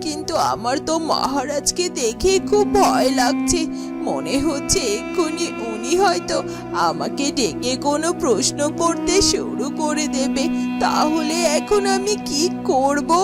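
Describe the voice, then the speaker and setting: A young maid of a fairy-tale palace, anxious yet dutiful. Her high voice rises and falls freely in fretful, earnest cartoon melodrama, with music clearly playing behind it. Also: big pitch swings, measured pace.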